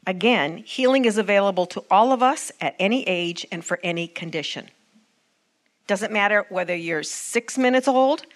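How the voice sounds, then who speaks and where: Woman preacher, earnest teaching sermon, mid-range voice, calls for responses. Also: mid-range voice. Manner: earnest, asks the congregation for responses.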